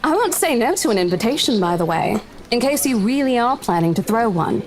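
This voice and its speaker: A saleswoman from a visual novel game, high-voiced and very animated. She is brash and teasing, the senior colleague who pushes people to have fun, with a playful theatrical flourish. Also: a little fast. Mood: breezy, coaxing.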